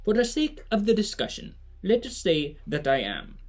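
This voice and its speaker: An actor playing a kindly, earnest old mentor in a family audio drama, speaking in formal, deliberate phrases. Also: mid-range voice, wildly swooping pitch, darkish tone. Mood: earnest, measured.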